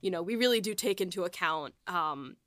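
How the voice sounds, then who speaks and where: A woman talking casually as a podcast host and writer, in a halting, conversational way that often restarts thoughts. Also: on the low side, lively intonation, fairly bright tone, no room sound, clean studio sound. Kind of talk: casual panel chat.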